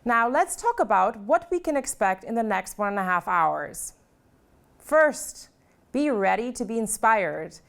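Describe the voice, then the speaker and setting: A woman's voice: medium pitch, big pitch swings, a little slow, creaky, fairly bright tone. A woman presenting an event as host in a scripted style.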